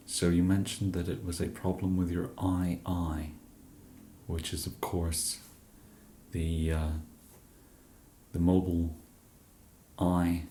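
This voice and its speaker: English man, ASMR roleplay, deep mellow voice, roomy echo, faint noise. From England. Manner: soft-spoken, hesitant with many fillers. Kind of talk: ASMR roleplay as a shop genius.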